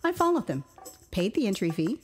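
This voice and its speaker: Expressive American woman storyteller over music. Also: medium pitch, brisk. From Houston, Texas, United States. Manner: expressive and theatrical, with amused asides. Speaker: author reading her own memoir. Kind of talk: dramatized first-person storytelling narration.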